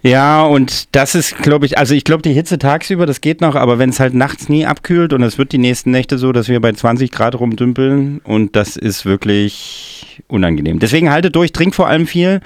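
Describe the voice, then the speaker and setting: A man's voice: medium pitch, moderate pace, few pauses, no room sound, clean studio sound. A man hosting a music show in casual, rambling, joking chat. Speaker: radio music show host. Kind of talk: casual chatty radio moderation.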